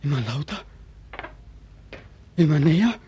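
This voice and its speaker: An actor playing a tormented, despairing soldier home from war in a 1940s radio drama, anguished and halting. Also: male voice, on the high side, expressive, muffled recording, slight background noise. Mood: mounting terror. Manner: anguished, halting, swinging from hush to outcry. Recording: old 1940s radio recording.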